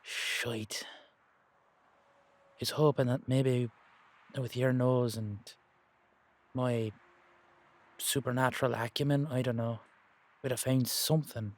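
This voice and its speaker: A man playing a gruff, blunt supernatural Dullahan with an or in an audio roleplay. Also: medium pitch, fairly even intonation, takes it slowly, pauses often, pitched below the performer's own voice, lightly acted. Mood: uneasy, frustrated. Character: gruff older male Dullahan with a brogue, calling others lad.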